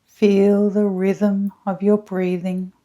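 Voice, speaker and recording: A woman guides a meditation in a very unhurried, measured voice. Her tone is mellow and hushed, staying quite even in pitch. She pauses often between short, soothing phrases, with some breathiness. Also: muffled recording. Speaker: guided meditation leader, energy healer. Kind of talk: slow scripted guided meditation.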